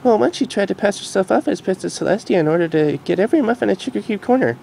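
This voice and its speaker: A man puts on a knowing cartoon mare from the future, gentle and slightly cute. The pitch sits high and the tone is mellow, with faint noise behind. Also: fast, creaky. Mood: fond. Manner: calm, explanatory, slightly cute.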